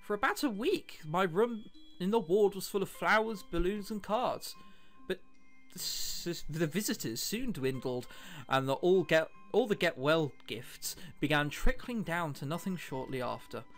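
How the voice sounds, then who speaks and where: Guy doing a visual novel lets play, highish voice that swings around a lot, wry little comments, music behind. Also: animated, measured pace, fairly bright tone. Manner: wry, chatty asides between read lines. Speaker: let's play host. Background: background music.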